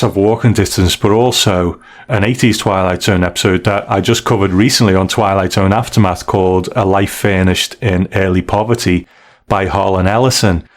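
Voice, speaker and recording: A man hosting a podcast, talking casually and recapping stories with tag questions. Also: fairly low pitch. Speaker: podcast host.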